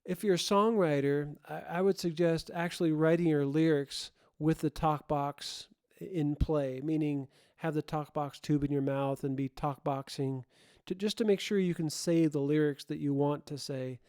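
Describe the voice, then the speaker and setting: A man hosts an informal audio tutorial in a smooth, mellow voice that sits a little high. He thinks aloud in a halting way, restarting and rephrasing as he explains. The recording is close and dry. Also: steady tone, no echo. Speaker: host, audio production tutorial presenter.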